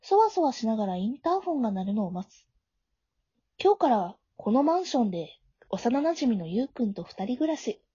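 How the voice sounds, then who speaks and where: Woman doing a high mellow schoolgirl voice, flustered but caring, audio drama w/ inner narration, bit muffled lo-fi. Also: big pitch swings, a little fast, slightly rough, no echo. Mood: expectant. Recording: dull, lo-fi home recording.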